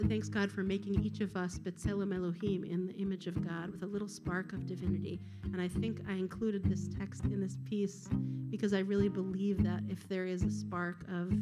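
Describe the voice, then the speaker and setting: A woman leading a congregation in a service, speaking earnestly with frequent ums and uhs. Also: medium pitch. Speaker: prayer and song leader.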